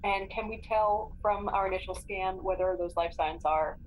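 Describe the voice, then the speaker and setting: A woman's voice: mid-range voice, moderate pace, low-quality recording. Decisive woman player, some background noise. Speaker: player in a tabletop role-playing game. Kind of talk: casual game-table chat.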